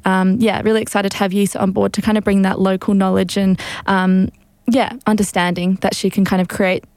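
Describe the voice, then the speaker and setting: A woman's voice: mid-range voice, level tone, brisk, darkish tone, dry recording. A woman explaining her community project in a casual interview, with frequent ums and fillers. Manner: hesitant, many ums and fillers. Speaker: project coordinator.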